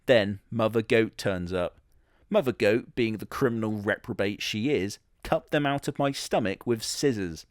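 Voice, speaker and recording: A man narrates a comic story with sardonic, crude asides and casual swearing. His voice sits a little low and the recording is dry and close, with no room sound. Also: normal speed, no echo. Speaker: comedy narrator in character.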